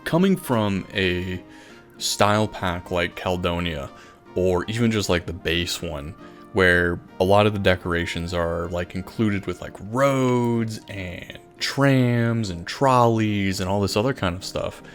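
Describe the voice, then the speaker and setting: Low-voiced man rambling over music. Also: measured pace. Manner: informal, rambling, enthusiastic. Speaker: gaming video host. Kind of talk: casual Minecraft time-lapse commentary.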